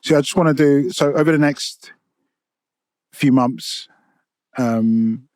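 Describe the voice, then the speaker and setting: A man speaking as a podcast guest in an earnest, thoughtful way, often restarting phrases and repeating words mid-thought. His voice sits in a middle pitch and is recorded close and dry. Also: slow pace, darkish tone, dry recording. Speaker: guest, poet and storyteller. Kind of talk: casual podcast interview.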